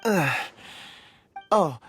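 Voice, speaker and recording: A man playing a reserved young man in a video game, halting and understated, as if hiding his weariness. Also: on the high side, expressive, fairly bright tone, a little hiss or hum, exaggerated voice. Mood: evasive, weary.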